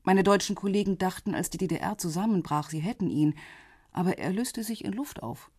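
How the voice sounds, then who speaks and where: An actress playing a cool, insistent police intelligence investigator in a radio crime drama, husky, controlled and businesslike. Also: female voice, fairly low pitch, fairly quick, a little mellow, no room sound, studio quality. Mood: factual, businesslike. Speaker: supporting actor.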